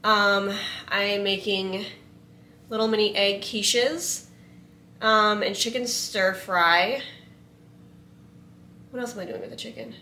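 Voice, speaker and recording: A woman's voice: medium pitch, fairly even intonation, takes it slowly, long pauses, sharp tone, a little hiss or hum. Chatty woman vlogging in her kitchen. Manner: rambling, many "like" and "um" fillers. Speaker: vlogger and photographer. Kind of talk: casual vlog chat. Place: home kitchen, indoors.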